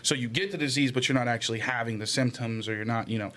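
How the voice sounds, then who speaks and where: A man's voice: medium pitch, fast talker, fairly bright tone. A man in a casual podcast chat, explaining things in a slangy way with some swearing. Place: small room set up as a podcast studio. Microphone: close podcast microphone on a stand. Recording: clear background noise.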